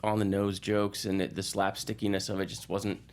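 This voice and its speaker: A man speaking as a guest film critic in casual movie talk, halting and repeating phrases as he thinks aloud. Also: lowish voice, monotone, clean tone.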